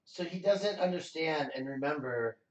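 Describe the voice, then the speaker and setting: A man's voice: fairly high pitch, animated, moderate pace, recorded from a distance. Echoey off-mic man saying "right?". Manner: affirming, keeps saying "right?". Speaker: co-host. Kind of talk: casual chat about news clips.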